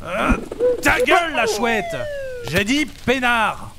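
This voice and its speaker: A man's voice: very high-pitched, big pitch swings, takes it slowly, gravelly, heavy background noise, full character voice. A man playing a grumpy, foul-mouthed camper who just wants peace, in a comedy audio drama. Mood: outraged. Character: grumpy man by a campfire wanting peace, swearing.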